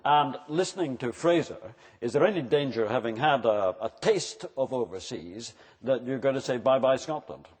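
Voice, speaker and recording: A man's voice: medium pitch, expressive, normal speed, a little mellow. A man chairing a public forum, calling on panellists and audience members by name and prompting them with questions. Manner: calls on people by name, asks prompting questions. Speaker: forum moderator. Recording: long hall reverb.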